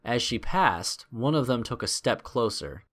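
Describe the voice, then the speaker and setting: A man's voice: mid-range voice, lively intonation, measured pace, fairly bright tone. A man narrating a scripted adventure story in a dramatic storytelling style.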